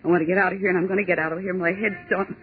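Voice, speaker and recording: An actress playing a frightened young woman trying to stay calm and reasoning out loud, in 1940s radio drama style, on a tinny, scratchy old broadcast. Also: on the low side, rapid-fire, natural voice. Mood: shaken, defiant. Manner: tense, insistent, clinging to reason.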